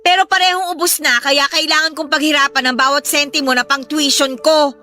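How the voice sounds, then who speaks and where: Young adult Filipino daughter, aggrieved and self-defending, for radio-style melodrama. High, bright, sharp tone. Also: female voice, steady tone, brisk. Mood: hurt, indignant. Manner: complaining, self-defending, politely reproachful. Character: put-upon, clumsy, frank young Filipino daughter. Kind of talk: melodramatic Filipino audio drama acting.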